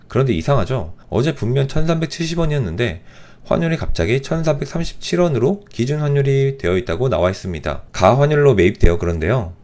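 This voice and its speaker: A man hoarse from a cold talks quickly through a beginner's tutorial. His pitch moves around a lot as he explains each term. He speaks plainly and straight to newcomers. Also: darkish tone. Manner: clear explanatory, addresses beginners. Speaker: finance channel host. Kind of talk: beginner investing tutorial voice-over.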